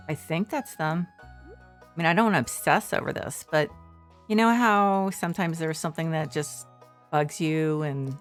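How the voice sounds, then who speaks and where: A woman streaming a farming game from her shared living room, rambling softly with many fillers. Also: deep voice. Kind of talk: casual gameplay commentary.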